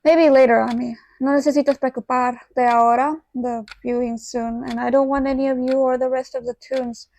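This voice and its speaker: A woman's voice: high voice, level tone, a little fast, few pauses, darkish tone. A woman playing an overworked, modest human manager in a radio play, reserved and hesitant in delivery. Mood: modest, evasive. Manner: reserved, hesitant, slips into Spanish. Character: Ari, overworked, modest human woman running a cartoon studio. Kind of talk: fictional radio theater acting.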